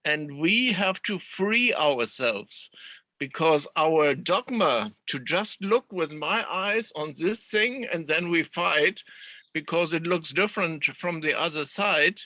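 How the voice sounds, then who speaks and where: Slow deliberate guy, bright sharp voice, dry close sound. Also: fairly high pitch, no room sound. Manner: deliberate, pausing with fillers. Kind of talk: casual group discussion.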